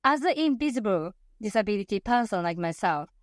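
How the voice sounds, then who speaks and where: A woman's voice: mid-range voice, lively intonation, fairly quick, no room sound, clean studio sound. A woman in her fifties from Japan with a Japanese accent, a passionate interview guest who often laughs and corrects herself mid-sentence. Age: 50s. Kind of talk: conversational interview.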